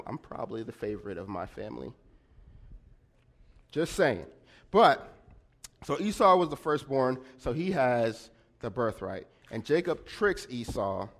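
A man telling a personal story in a sermon, with a slightly nasal voice and animated delivery, often checking in with his listeners. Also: gravelly. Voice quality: slightly nasal and deeper than usual. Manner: animated storyteller with frequent "right?" check-ins. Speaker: preacher.

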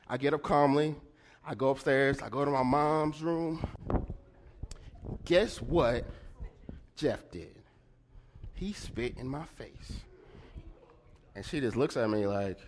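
Man, mid-range voice, slightly nasal, animated sermon storyteller. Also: unhurried, pauses often, slight background noise. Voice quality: slightly nasal and deeper than usual. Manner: animated storyteller with frequent "right?" check-ins. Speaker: preacher.

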